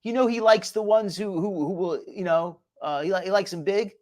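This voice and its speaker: A man's voice: sits high, fairly quick, darkish tone. A man with a slight New Jersey accent hosting a show, gossiping and mocking sarcastically. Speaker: host. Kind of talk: mocking reaction commentary.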